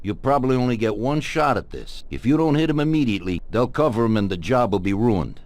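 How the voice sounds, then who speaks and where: Male actor as middle-aged crime boss for game cutscenes: calculating, measured, businesslike, mid-range voice. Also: mid-range voice, animated, darkish tone, lightly acted. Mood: calm, scheming. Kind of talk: video game cutscene acting.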